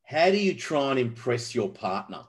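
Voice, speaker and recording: A man hosting a casual podcast interview, excitable and jokey, often laughing. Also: medium pitch, moderate pace. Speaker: podcast host.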